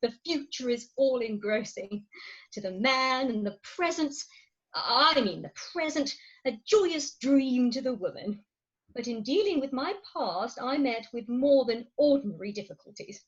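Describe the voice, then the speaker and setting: A woman's voice: fairly high pitch, lively intonation, unhurried. A woman acting a proud, coaxing middle-aged wife in a comic Victorian farce, theatrical and emphatic, on slightly dull online call audio. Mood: earnest, coaxing. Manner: theatrical, emphatic, grandly articulate. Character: imperious, coaxing Victorian English wife, thirty-six, proud. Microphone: remote home recording over video call.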